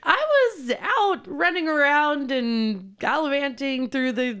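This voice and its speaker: Giggly hoarse young woman podcast co-host. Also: high voice, takes it slowly, pushed and bright. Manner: giggly, rambling with many fillers. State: slightly hoarse from lost voice. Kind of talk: casual chat.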